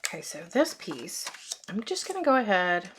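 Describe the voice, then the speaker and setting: A woman with a hoarse, fading voice, chatting casually with frequent fillers as she demonstrates card-making at her crafting desk. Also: medium pitch, sing-song, normal speed, background noise.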